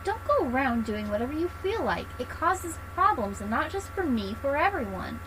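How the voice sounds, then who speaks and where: A woman's voice: high voice, very animated, moderate pace, background noise. A woman voicing a stern, commanding young female game character who scolds firmly. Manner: scolding, firm. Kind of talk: video game dialogue read aloud.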